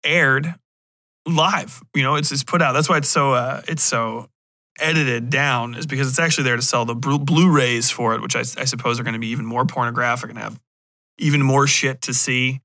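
A man's voice: mid-range voice, normal speed, no room sound. A man hosting a podcast, talking in a casual, rambling way with blunt, irreverent swearing and joking asides. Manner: animated joking asides, acting out imagined remarks. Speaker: podcast host. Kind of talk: casual rambling review talk.

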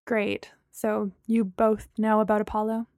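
A woman's voice: medium pitch, little pitch movement, a little slow, darkish tone, dry recording, clean studio sound, natural voice. A woman voicing a self-doubting young romance heroine in an audiobook, in a plain, hesitant manner. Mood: subdued. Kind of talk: acted audiobook dialogue.

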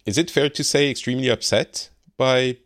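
A man's voice: mid-range voice, normal speed, dry recording, studio quality. A man hosting a podcast, asking probing questions in a casual chat and stepping in to clarify. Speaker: podcast host.

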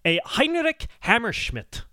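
A man's voice: extremely high pitch, huge pitch swings, a little slow, sharp tone. A man hosting a comedy podcast, talking casually with a sarcastic edge.

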